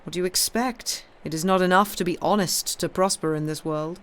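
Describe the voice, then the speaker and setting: A man's voice: high-pitched, fairly even intonation, brisk, fairly bright tone. A man voicing a fawning, garrulous innkeeper who stammers and complains as he serves, in an audiobook. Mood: plaintive, boastful. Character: fawning, garrulous, self-pitying male French innkeeper.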